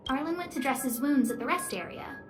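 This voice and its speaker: High-voiced, expressive young female researcher played by an actress. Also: fast talker, echoey room, background noise, natural voice. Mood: worried, exasperated. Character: young female lead researcher, wealthy, cheerful, caring stargazer. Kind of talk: video game character dialogue.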